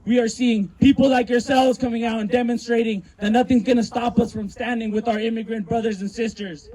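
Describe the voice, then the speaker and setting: A man emceeing an outdoor protest rally, speaking loudly and passionately to project to the crowd. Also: extremely high pitch, steady tone, brisk, poor audio. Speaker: rally emcee and chant leader. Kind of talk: impassioned protest rally address.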